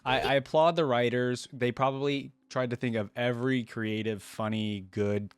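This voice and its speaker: A woman's voice: very deep voice, fairly even intonation, normal speed, smooth. A woman hosting a reaction video, giving casual, laid-back commentary and musing aloud.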